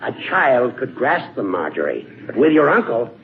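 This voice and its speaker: An actor playing a dry, prickly old judge in a 1940s radio comedy, formal and lecturing in manner. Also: male voice, mid-range voice, very animated, moderate pace, close to an everyday voice. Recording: tinny, noisy 1940s radio recording.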